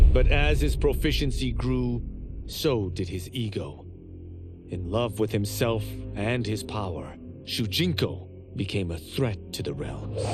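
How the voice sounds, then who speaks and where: An actor playing a proud, boastful young male martial arts champion in a video game, with a smug, self-assured, grand delivery. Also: mid-range voice. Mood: reflective. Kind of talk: video game character dialogue.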